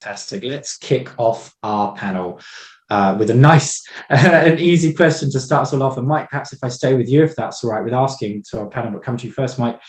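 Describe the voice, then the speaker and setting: Brisk man moderating an online panel. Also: medium pitch, reverberant. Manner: polite, brisk introductions. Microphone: video call.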